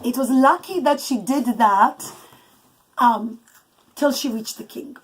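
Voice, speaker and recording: Higher-voiced woman telling a dramatic bedtime story. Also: slow pace, husky. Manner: dramatic, emphatic, with asides to children. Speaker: mother telling a story to her children. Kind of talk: improvised animated bedtime storytelling. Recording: noisy home recording with clicks. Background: young children chattering.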